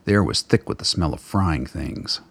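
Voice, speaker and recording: Low, husky male radio drama narrator. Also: lively intonation, fairly bright tone. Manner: measured, literary storytelling. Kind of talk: scripted radio play narration.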